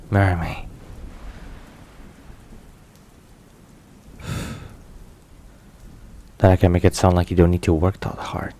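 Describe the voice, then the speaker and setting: Man playing a very deep, slow-spoken mafia boyfriend. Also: a little mellow, slight background noise. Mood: earnest, tender. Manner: halting, murmured, with sighs and pauses. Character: brooding, tender mafia boss boyfriend, hesitant in love. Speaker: ASMR roleplay voice actor. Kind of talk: intimate ASMR roleplay acting. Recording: rain and thunder behind voice. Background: rain and thunderstorm ambience.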